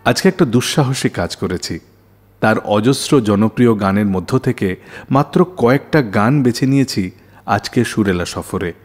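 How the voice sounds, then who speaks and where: A man hosting a radio show, narrating scripted stories with dramatic, deliberate phrasing. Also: lowish voice, expressive. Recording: music behind the voice. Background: background music.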